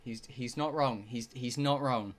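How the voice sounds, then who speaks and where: A man's voice: mid-range voice, unhurried. A man livestreaming a visual novel, chatting casually and reading dialogue aloud in a rambling way with casual swearing. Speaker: streamer playing a visual novel.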